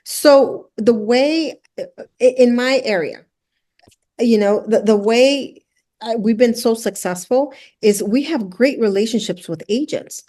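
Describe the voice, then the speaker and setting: A woman's voice: a little high, unhurried, mellow. Middle-aged woman from El Salvador, earnest storyteller. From El Salvador, moved to the US at six. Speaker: interview guest, luxury house flipper. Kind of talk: conversational interview storytelling.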